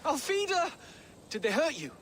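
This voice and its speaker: An actor playing a soft, earnest nineteen-year-old medieval village lad in a video game cutscene, boyish in manner. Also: male voice, very high-pitched, sing-song, normal speed, a little hiss or hum, full character voice. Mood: relieved, anxious. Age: young adult. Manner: earnest, boyish, archaic phrasing.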